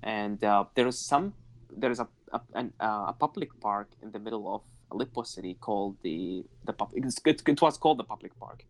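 A man tells his life story as an interview guest. His voice sits fairly low, and he speaks in an earnest, reflective way. He laughs easily as he talks. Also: fairly quick. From Iraq. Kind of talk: personal life story in conversation.

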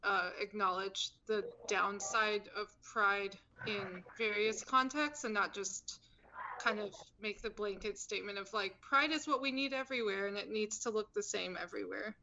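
A woman's voice: mid-range voice, normal speed, sharp tone. A woman hosting a podcast in a friendly, encouraging way, with some ums. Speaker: podcast host. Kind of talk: casual podcast chat. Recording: dull lo-fi sound with noise.

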